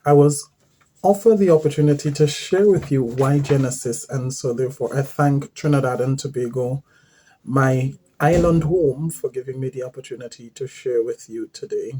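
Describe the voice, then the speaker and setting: A man, giving an earnest personal testimony as a former volunteer missionary, pausing often with fillers and restarts. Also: mid-range voice, dark tone, poor audio. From Guyana.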